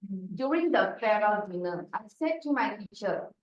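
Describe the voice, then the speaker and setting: A woman's voice: mid-range voice, expressive, lo-fi sound. A woman from Malaysia with a Malaysian Chinese accent, telling a personal story in a prepared club speech. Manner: slow, deliberate storytelling with acted quotes. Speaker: club speaker. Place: meeting room. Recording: distant mic in echoey room.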